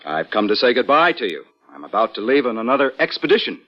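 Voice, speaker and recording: Earnest young heir, animated voice. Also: male voice, medium pitch, fast talker, soft background music. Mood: cheerful, polite. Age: young adult. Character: earnest, defiant young gentleman explorer, a wealthy heir. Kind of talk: 1940s-50s American radio acting. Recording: muffled vintage radio recording.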